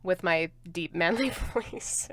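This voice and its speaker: A woman's voice: lowish voice, slow, a bit bright, no room sound. A woman co-hosting a podcast, chatting playfully and laughing easily. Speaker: podcast co-host. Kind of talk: casual chat.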